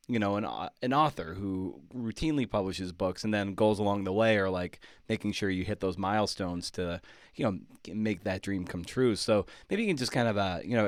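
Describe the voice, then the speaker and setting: A man's voice: lowish voice, dry recording, clean studio sound. A man hosting a podcast as interviewer, talking casually and phrasing his thoughts loosely.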